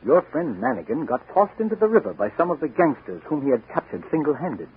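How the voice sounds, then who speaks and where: A man's voice: on the high side, sing-song, a little fast, husky, full character voice. A man playing a genial, amused doctor in a 1940s radio drama. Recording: tinny, hissy 1940s radio recording.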